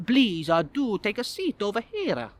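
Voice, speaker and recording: A man voicing an exasperated, bossy head waiter with an Italian accent, halting and patronising. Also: sits high, huge pitch swings, pitched above the performer's own voice, exaggerated voice.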